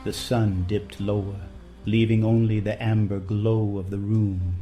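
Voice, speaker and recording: Deep, mellow male narrator. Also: level tone, measured pace. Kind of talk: scripted literary romance narration. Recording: faint music behind.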